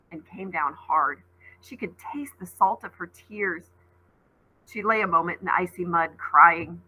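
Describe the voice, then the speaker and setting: A woman teacher reading a novel aloud to her class, in an expressive storytelling manner. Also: husky, low-quality recording. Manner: expressive read-aloud, voices rising high on called names.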